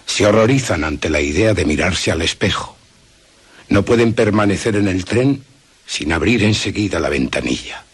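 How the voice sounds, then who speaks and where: A man with a Castilian Spanish accent and a rough, husky voice, giving a scripted dramatic reading on radio. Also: low voice, animated, moderate pace. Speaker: reader of quoted passage.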